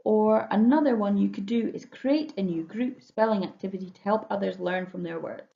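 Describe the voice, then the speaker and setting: A woman's voice: mid-range voice, moderate pace, gravelly. A woman, a primary school teacher, explaining home learning tasks in an instructional way and often checking understanding with questions. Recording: roomy echo, dull lo-fi sound.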